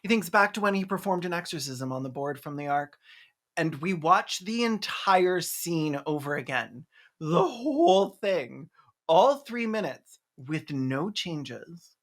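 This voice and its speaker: A video essayist giving a sarcastic, comedic movie recap, with snarky deadpan asides and mock-dramatic exclamations. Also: mid-range voice, unhurried. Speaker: video essayist, film reviewer.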